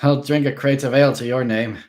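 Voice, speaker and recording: A man reading aloud, voicing a gruff, loyal old male vampire with a croaky voice. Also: mid-range voice, fairly even intonation, fairly quick, darkish tone, voice pushed up, close to an everyday voice. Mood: bitter fondness.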